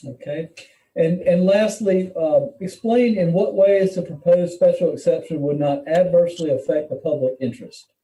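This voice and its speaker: A man's voice: a little high, moderate pace, mellow. A man chairing a public meeting, putting formal questions in a procedural, courteous manner. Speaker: board chair running a hearing. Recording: dull echoey room, distant mic.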